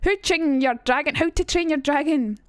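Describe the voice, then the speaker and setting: Bright-voiced woman streaming. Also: high-pitched, moderate pace, no echo, clean studio sound. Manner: chatty, reads chat aloud, jumps between topics, swears. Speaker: streamer teaching accents. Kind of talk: casual live-stream chat.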